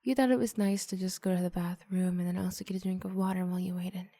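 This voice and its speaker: A woman softly narrating an ASMR roleplay story in the second person, often close to a whisper. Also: lowish voice, flat delivery, fast talker, no echo. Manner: soft, often near-whispered.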